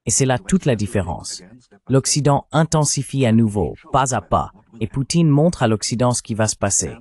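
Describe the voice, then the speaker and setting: A man's voice: mid-range voice, big pitch swings, moderate pace, darkish tone. An AI male voice reading a translated voice-over of a journalist giving political analysis as an interview guest. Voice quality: synthetic AI voice.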